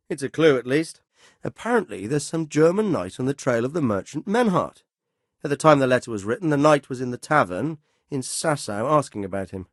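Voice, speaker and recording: An actor playing a stern but fatherly middle-aged nobleman and commander in a video game, speaking with measured, formal authority. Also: medium pitch, dry recording, clean studio sound, natural voice. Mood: businesslike. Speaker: feudal lord and military commander. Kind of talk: video game dialogue acting.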